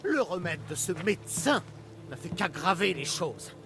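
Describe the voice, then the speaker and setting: A man's voice: sits high, big pitch swings, unhurried, background noise, full character voice. A man playing an indignant, accusing village magistrate in a video game drama. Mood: accusing anger. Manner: agitated, accusatory.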